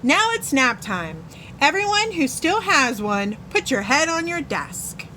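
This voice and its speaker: A menacing she-monster of a schoolteacher, voiced by a woman reading a storybook. She speaks slowly, stretching out her taunts with smug glee. The delivery is aimed at children. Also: high-pitched, sing-song, pushed and bright, slight background noise, voice pushed up, full character voice, rough recording. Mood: wicked sweetness. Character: menacing female monster teacher, smugly gleeful, with claws. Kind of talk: storybook read-aloud for children.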